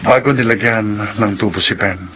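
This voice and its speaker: A man playing a serious, caring doctor who confides gravely in a radio drama. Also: fairly low pitch, normal speed, background noise, close to an everyday voice. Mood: grave, confiding. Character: earnest caring male doctor confiding a secret. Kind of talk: Tagalog radio drama acting.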